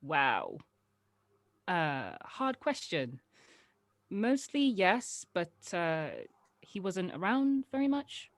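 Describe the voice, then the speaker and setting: A woman's voice: fairly low pitch, very animated, very slow, long pauses, no room sound, heavily acted. A woman acting a wry, guarded adult who humours a child in a casual, teasing way at a script read. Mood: wistful, guarded. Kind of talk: acted script table read.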